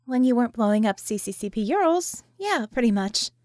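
Narrator doing a wry tired sardonic woman, higher voice, audiobook character, very dry recording. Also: very animated, fairly quick, a little mellow, no room sound. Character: sardonic, sharp-tongued, weary woman tech-mage.

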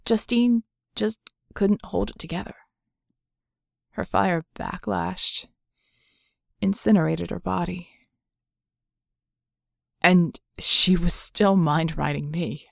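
A woman voicing a cynical, haunted male metahuman ex-thief in an audiobook, telling his past in a wry, measured way. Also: lowish voice, creaky, no echo, clean studio sound, exaggerated voice. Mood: grim, haunted.

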